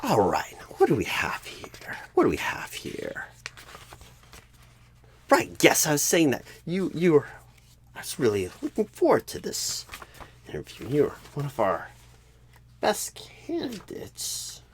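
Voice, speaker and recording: A man playing a clueless, bumbling job recruiter in a comedic ASMR role-play, rambling with odd pauses and repeated words, flustered but eager. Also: fairly high pitch, huge pitch swings, a little hiss or hum. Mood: flustered, searching.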